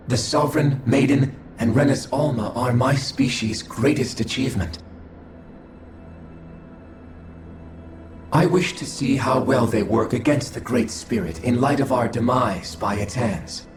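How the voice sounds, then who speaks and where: A man voicing a detached alien observer in a video game, formal, measured and emotionless. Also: mid-range voice, natural voice. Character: cold, detached alien observer of a fallen species. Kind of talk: scripted video game voice acting. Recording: very roomy echo, music behind.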